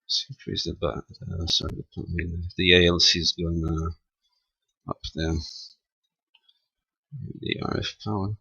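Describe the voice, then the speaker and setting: A man giving a casual, unscripted technical demonstration as a radio hobbyist, talking haltingly and half muttering to himself as he works. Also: low voice, little pitch movement, creaky, sharp tone, husky, no room sound, poor audio. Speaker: amateur radio operator demonstrating equipment.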